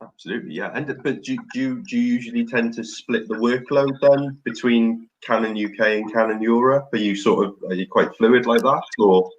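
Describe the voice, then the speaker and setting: A man's voice: mid-range voice, normal speed, few pauses, dull audio, slight background noise. A man hosting an interview, encouraging his guest and repeating short phrases like a friendly chat host.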